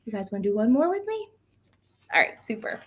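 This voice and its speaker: A woman's voice: on the high side, sing-song, a little fast. A woman teaching young children in an encouraging, sing-song way, asking them questions as she counts, chants and tells simple stories.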